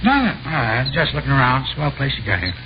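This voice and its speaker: An actor playing a blunt, stubborn gardener in a 1950s radio drama, with a curt colloquial way of talking. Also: male voice, medium pitch, very animated, quick pace, natural voice. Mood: haggling. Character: blunt, stubborn working-class male gardener, cagey. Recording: muffled vintage radio recording, clear background noise.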